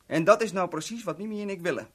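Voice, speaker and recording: A man's voice: high voice, fast talker, a bit bright. An actor playing a cocky, scheming small-time crook in a 1970s Dutch radio drama, chummy and mock-friendly as he boasts and explains. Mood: chummy, explaining.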